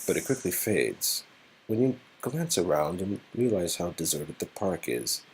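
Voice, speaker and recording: A man's voice: low-pitched, very animated, measured pace, a little hiss or hum, poor audio. A man reading an interactive story game aloud as narrator, with playful, goofy asides. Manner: goofy, rambling ad-libs. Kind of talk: playful dramatic read-aloud with silly ad-libbed asides.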